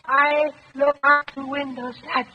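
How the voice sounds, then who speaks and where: A woman playing a timid, simple household servant who speaks halting broken English in an old radio drama. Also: sits high, little pitch movement, soft background music. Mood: frightened. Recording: dull old radio broadcast.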